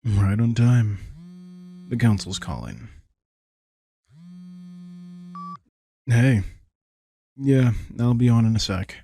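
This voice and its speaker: A man's voice: fairly low pitch, creaky, dark tone, slight background noise. A man playing a centuries-old vampire king, wry and protective, talking casually and intimately to the listener in an audio roleplay. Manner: casual, reflective, swearing, addresses listener directly. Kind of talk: intimate scripted audio roleplay, conversational monologue.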